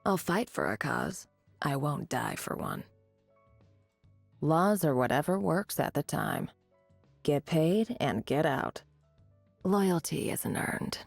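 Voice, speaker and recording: A woman voicing a cocky, greedy mercenary desert warrior in a video game, husky and gravelly, with dry, sardonic delivery. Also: low voice, lively intonation, takes it slowly, long pauses, dry recording, soft background music, heavily acted. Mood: cool, sardonic. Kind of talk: video game character voice lines.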